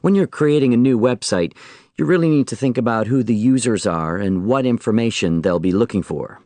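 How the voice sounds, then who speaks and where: A man delivering a scripted instructional lecture in an orderly, measured way. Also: mid-range voice, dark tone, no room sound.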